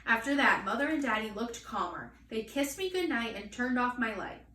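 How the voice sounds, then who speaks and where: Higher-voiced woman reading aloud, echoey room. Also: expressive, normal speed, bright, forceful tone. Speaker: children's story reader. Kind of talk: expressive storybook read-aloud. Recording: echoey room, distant mic, faint noise.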